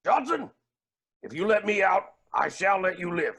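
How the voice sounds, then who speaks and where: Shrill, raspy, manic villain billionaire. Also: male voice, very animated, moderate pace, a bit bright, exaggerated voice. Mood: gloating menace. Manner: pompous, melodramatic, swooping exclamations. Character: manic villainous billionaire man, gleefully cruel and theatrical. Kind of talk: theatrical staged reading.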